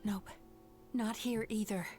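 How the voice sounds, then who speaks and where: An actress playing a calm, resolute young woman, a gentle nightmare healer in a video game, speaking in a composed, earnest way. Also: mid-range voice, slow, over music, close to an everyday voice. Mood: cautious.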